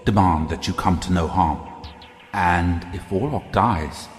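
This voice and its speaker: A low, slow voice fills a long echoing hall with music beneath. It is a calculating, bitter prince acted by a man, measuring out each phrase with theatrical command in an audio drama. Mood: persuasive, sly. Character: scheming, embittered royal elder brother, silky and calculating.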